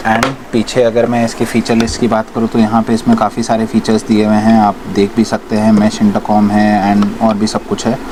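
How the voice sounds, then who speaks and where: A man's voice: on the low side, completely flat delivery, moderate pace, hardly any pauses. A man from India giving a casual, explanatory product demonstration, mixing English words into his talk. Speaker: shop presenter reviewing riding gear. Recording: clear background noise, vehicle sounds.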